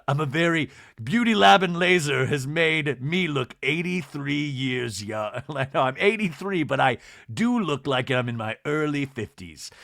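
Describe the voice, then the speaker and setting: A man hosting a solo podcast that recaps reality TV, talking in a lively, rambling, sarcastic way and swearing now and then. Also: fairly high pitch, dry recording, studio quality. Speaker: podcast host.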